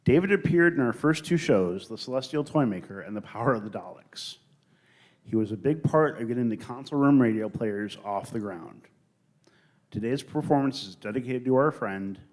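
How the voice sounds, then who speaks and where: A man introducing a live show as its presenter, speaking solemnly and a little haltingly. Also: on the low side, animated, a little mellow. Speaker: presenter of a theatre troupe's show.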